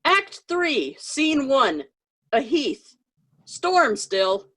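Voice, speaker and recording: A woman narrates scripted stage directions for a play. Her voice is fairly high and lively in pitch, and she takes her time. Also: extremely slow.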